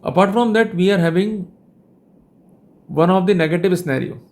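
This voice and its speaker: A man's voice: high voice, moderate pace, a little mellow. A man with an Indian accent giving a legal lecture in a formal, wordy, didactic way. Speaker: legal educator and host.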